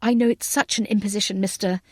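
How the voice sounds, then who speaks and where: A woman's voice: medium pitch, steady tone, quick pace, darkish tone, no echo, natural voice. Woman playing a chatty, well-bred lady aviator. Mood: eager, pleading. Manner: effusive, polite, old-fashioned phrasing.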